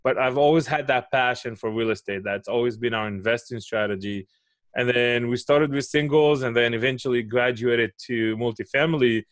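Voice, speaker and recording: A man's voice: medium pitch, moderate pace, no echo. A man in his forties from Israel with an Israeli accent, a guest explaining his views on a video call and often checking in with "right?". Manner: explanatory, makes a point then asks "right?". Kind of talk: interview answers. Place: home office. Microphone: headset with studio mic on a video call.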